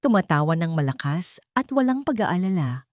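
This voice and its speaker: A woman reading a sentimental love story as a scripted narration, in a measured, earnest storytelling manner. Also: fairly low pitch, very animated, darkish tone, no echo, studio quality.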